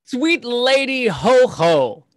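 A pompous, lovestruck steward in a stage comedy. The voice is very high and swoops wildly, with slow, theatrical, leering delivery. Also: male voice, no echo, full character voice. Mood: smitten, leering. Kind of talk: Shakespeare reading over video call. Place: indoor room on a video call.

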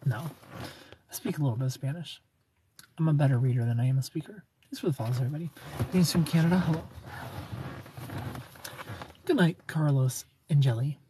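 A man's voice: fairly high pitch, mellow, a little hiss or hum. A man in his thirties, sitting in a car and chatting playfully on a live stream while he reads viewers' comments and laughs often into a phone held close. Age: 30s. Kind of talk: casual live-stream chat. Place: parked car at night, neon lights.

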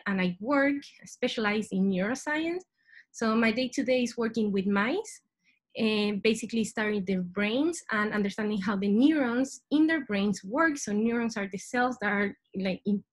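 A young woman from Chile with a Chilean Spanish accent, giving an informal science presentation over a video call as a PhD student. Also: medium pitch. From Santiago, Chile. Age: young adult. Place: small indoor room at home. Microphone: webcam video call.

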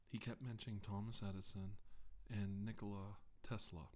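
A middle-aged man playing a solemn doctor giving testimony about his alien abduction in an ASMR roleplay, halting and deliberate with long pauses. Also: fairly low pitch, flat delivery, a little mellow, natural voice. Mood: grave, measured. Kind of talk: ASMR roleplay, slow deposition monologue. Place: indoor room, seated at a table. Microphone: microphone on the table close in front.

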